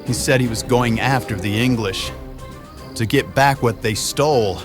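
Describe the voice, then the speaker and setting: A man's voice: mid-range voice, a little slow, music in the background, pitched above the performer's own voice, natural voice. A man playing a frantic father desperate to save his son in an audio drama. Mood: urgent.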